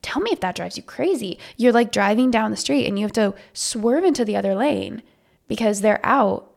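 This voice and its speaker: A woman co-hosting a podcast in casual chat, a bit congested and nasal, self-deprecating and quick to laugh at herself. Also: a little high, expressive, vocal fry, darkish tone. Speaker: podcast co-host. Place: home podcast setup.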